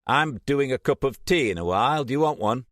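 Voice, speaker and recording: A man's voice: medium pitch, lively intonation, brisk, fairly bright tone, dry recording, studio quality, pitched above the performer's own voice, lightly acted. A man voicing an easygoing young man who talks in a casual, placating way.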